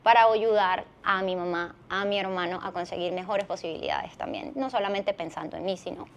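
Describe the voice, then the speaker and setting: A woman's voice: fairly low pitch, fairly even intonation, a little fast, slight background noise. A woman in her thirties with a Venezuelan accent, telling a personal story conversationally as a podcast guest.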